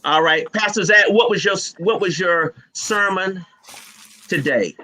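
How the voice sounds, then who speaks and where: High-voiced, bright male panel host. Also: unhurried. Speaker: host and moderator. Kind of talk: conversational panel moderating. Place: online video call.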